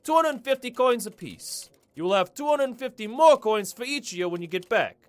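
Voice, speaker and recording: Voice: medium pitch, animated, normal speed, natural voice. An actor voicing a disheveled, grateful orc mayor in a fantasy audio drama. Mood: eager, obliging. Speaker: quest-giving mayor.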